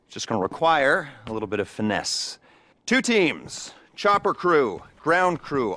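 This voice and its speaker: A man's voice: mid-range voice, a bit bright, close to an everyday voice. An actor playing a dry-witted male heist planner in a video game cutscene, briefing his crew with wry, sarcastic asides. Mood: reassuring.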